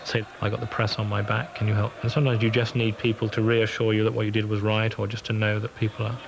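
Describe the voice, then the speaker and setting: Posh English man, low monotone, reflective; hiss behind. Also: quick pace, vocal fry. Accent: posh southern English. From England. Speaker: football referee. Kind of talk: documentary interview.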